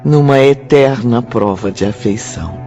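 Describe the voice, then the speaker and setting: An actor with a Brazilian accent playing a grave, reflective male spirit narrating his memoir in a solemn, measured radio drama. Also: medium pitch, creaky. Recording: dull radio-drama mix under loud music.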